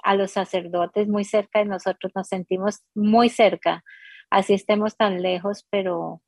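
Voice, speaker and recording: A woman hosting a radio interview, speaking courteously and slowly, often repeating words as she asks questions. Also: medium pitch, fairly even intonation, darkish tone, no echo. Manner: slow, courteous, repeating herself. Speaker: radio host.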